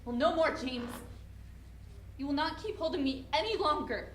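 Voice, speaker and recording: A woman acts an anxious young mother trying to escape a controlling partner. Her voice sits high. The stage reading is caught in a reverberant room with clear background noise. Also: expressive, moderate pace, fairly bright tone. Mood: angry, defiant. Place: live presentation in an echoey room. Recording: roomy, noisy live stage recording.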